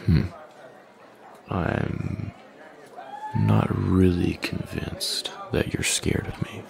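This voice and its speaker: A man playing a smug, menacing young male bully in an intimate audio roleplay, taunting with slow, deliberate threats in a deep, gravelly voice. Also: fairly even intonation, crowd noise, exaggerated voice. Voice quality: deep, gravelly, breathy at line ends.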